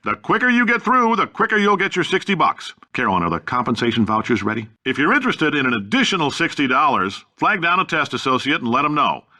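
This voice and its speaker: A man's voice: a little high, big pitch swings, fast, few pauses, sharp tone, heavily acted. A man playing a brash, blustering middle-aged American science tycoon in a video game, delivering announcements with folksy, cocky, blunt salesman's patter. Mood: pompous, salesmanlike.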